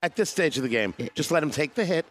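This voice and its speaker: A man with a lively, swinging pitch drops in on a live radio show. He ribs the hosts with deadpan wisecracks and talks quickly in loose banter. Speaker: visiting radio colleague.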